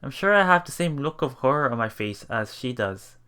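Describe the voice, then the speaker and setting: A man reading a visual novel aloud for a Let's Play, expressive and dramatic in first-person narration. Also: medium pitch, fairly quick, smooth. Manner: expressive, dramatic, with murmured reactions.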